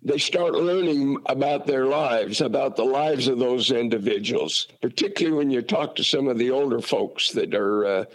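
A man's voice: mid-range voice, moderate pace, few pauses, rough recording. A man from Utah talking casually about family history, folksy and rambling with many fillers and restarts. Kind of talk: casual conversation.

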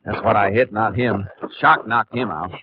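A man playing a steady, commanding Texas Ranger lawman in a 1950s radio western. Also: on the low side, normal speed, a little hiss or hum, lightly acted. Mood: cool, wry. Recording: dull vintage 1950s radio recording.

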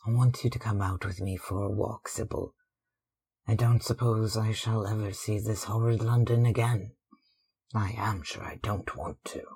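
Narrator putting on a grumbling, husky young lad. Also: mid-range voice, fairly even intonation, normal speed, a little mellow. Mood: blunt, sullen. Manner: grumbling, blunt. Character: gruff, good-natured young lad, clumsy brother. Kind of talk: audiobook character acting.

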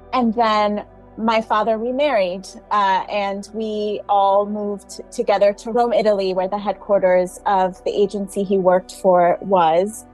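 Interview guest, female writer born in Tanzania, thoughtful, unhurried storytelling. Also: mid-range voice, darkish tone, poor audio. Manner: thoughtful, uses fillers.

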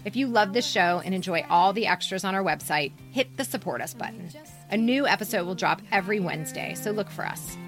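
A woman's voice: mid-range voice, animated, a little fast, soft background music. A woman co-hosting a podcast as a story editor, talking in a direct, emphatic, conversational way.

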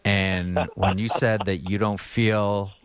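A man's voice: lowish voice, flat delivery, slow pace, clear, resonant tone, no room sound. A man in his fifties hosting an interview, telling stories with enthusiasm. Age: 50s. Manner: enthusiastic storyteller. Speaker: podcast host. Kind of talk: casual interview talk.

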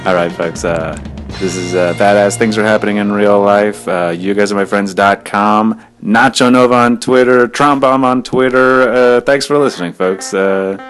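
Deep-voiced, rambling male podcast host. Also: moderate pace, a bit bright, faint music behind. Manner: rambling, filler-heavy, laid-back. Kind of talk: casual podcast intro.

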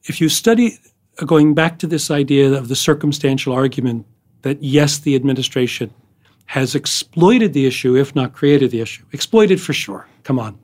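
A middle-aged man giving emphatic, measured analysis as a guest on a podcast, often repeating phrases. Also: medium pitch, darkish tone. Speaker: foreign policy guest. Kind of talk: interview analysis.

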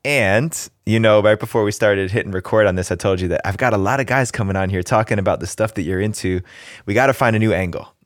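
Deep-voiced podcast host guy, upbeat and friendly, laughs a lot. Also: fast, no echo, studio quality. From New Jersey, USA. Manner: upbeat, brotherly, laughs often. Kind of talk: podcast interview.

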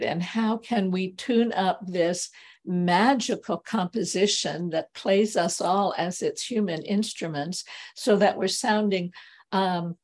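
A woman speaking slowly and lyrically in a reflective group conversation, her sentences trailing off into soft, whispered endings. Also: medium pitch. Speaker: speaker sharing her work on language.

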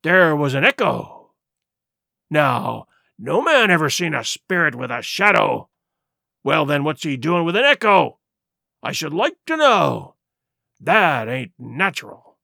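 A man voicing a defiant, cunning pirate leader in a storybook, with emphatic, rough seafaring speech. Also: fairly high pitch, very animated, slow pace, pushed and bright, no echo, studio quality, full character voice. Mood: reasoning, sceptical. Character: defiant, cunning male pirate ringleader, bold and scornful.

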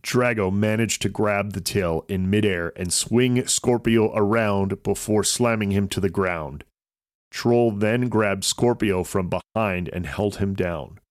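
Man, children's storyteller, podcast host, low pitch, creaky, scripted narration, dry sound. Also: slow, dry recording.

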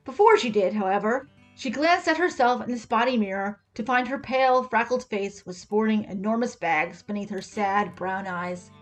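High-voiced woman reading a story over guitar. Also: normal speed, few pauses, low-quality recording. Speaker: story reader. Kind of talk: expressive scripted story narration. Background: music with guitar.

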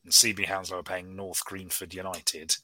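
A man chatting as a guest on a football podcast, jokey and animated, with playful outbursts. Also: low-pitched, normal speed, no echo. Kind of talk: casual football podcast chat.

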